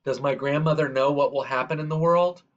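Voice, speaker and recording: A man reading a children's story aloud in a measured, expressive way, drawing out the ends of phrases. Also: fairly high pitch, lo-fi sound. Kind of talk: read-aloud scripted narration.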